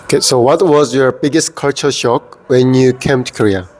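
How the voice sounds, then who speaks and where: A man's voice: medium pitch, steady tone, normal speed, darkish tone, soft background music. A man interviewing a guest with short, plain questions.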